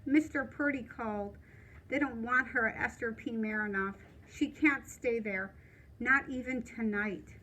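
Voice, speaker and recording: Woman reading as an earnest, anxious boy, high-pitched. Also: a little slow, sharp tone, poor audio. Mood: subdued, anxious. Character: polite, anxious boy narrator of a children's novel.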